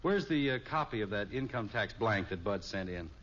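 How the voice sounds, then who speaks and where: A man's voice: lowish voice, animated, normal speed, fairly bright tone. An actor playing a nervous, stammering middle-aged father in an old radio comedy, over-explaining himself. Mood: flustered. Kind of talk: 1950s radio comedy acting. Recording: dull old radio recording, faint hiss.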